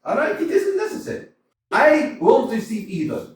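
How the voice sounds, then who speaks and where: A furious, blustering landowner, played by a man in a stage reading, lurching between muttering and bellowing. His voice sits high and swings wildly. He sounds far from the mic in a very echoey theater with a faint hiss. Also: very animated, moderate pace. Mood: defiant. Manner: ranting, exclamatory, swinging between mutters and shouts. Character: irate middle-aged male landowner chasing a debt, blustering. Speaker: actor in a community staged reading. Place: small community theater stage.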